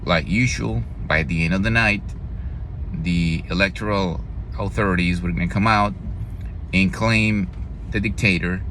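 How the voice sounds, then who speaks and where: A man's voice: low-pitched, rough recording. A man from Venezuela with a Venezuelan Spanish accent, talking heatedly in a casual political monologue, with fillers, restarts and swearing. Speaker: vlogger. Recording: loud traffic noise outdoors.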